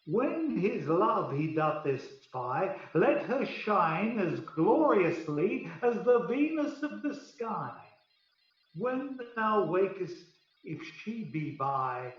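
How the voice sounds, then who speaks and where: A man's voice: high-pitched, very animated, slow pace, exaggerated voice. A man playing a commanding fairy king in a Shakespearean radio play, chanting verse in a stately, incantatory way. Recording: very echoey, distant, slightly dull.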